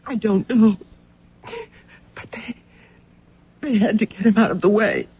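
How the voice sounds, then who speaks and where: Pleading, distraught wife, old radio. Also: female voice, medium pitch, unhurried, husky. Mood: tearful, desperate. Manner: imploring, insistent, earnest. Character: distraught, pleading wife of a missing truck driver. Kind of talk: old-time radio drama acting. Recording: noisy, narrow-band old radio recording.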